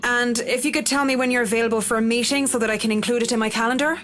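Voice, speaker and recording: A woman's voice: fairly high pitch, fairly even intonation, rapid-fire, a bit bright, lightly acted. A woman playing a polite, businesslike professional in a visual novel game. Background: background music.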